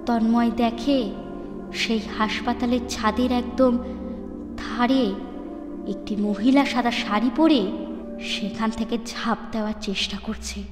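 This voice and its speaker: A woman storyteller narrating a ghost story dramatically, with deliberate, suspenseful phrasing and pauses. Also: fairly high pitch, fairly even intonation. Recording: echoey long reverb, music underneath.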